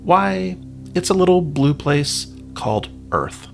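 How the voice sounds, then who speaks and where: A man's voice: high voice, animated, takes it slowly, gravelly. Wry male podcast host with music behind. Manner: wry, playful asides. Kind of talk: scripted podcast narration.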